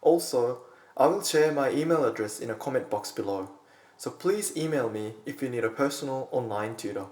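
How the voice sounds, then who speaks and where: Guy teaching a lesson to camera, mid pitch, kinda echoey room. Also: moderate pace. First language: Korean. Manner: steady, explanatory, addresses viewers directly. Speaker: Korean language teacher. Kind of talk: scripted online lesson.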